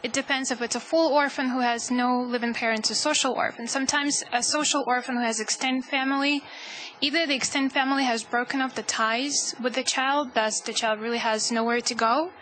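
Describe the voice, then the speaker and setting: Woman, bright tense voice, panel answer, fluent and explanatory, faint hiss. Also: sits high, steady tone, brisk, rough recording. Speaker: panel speaker on orphan education.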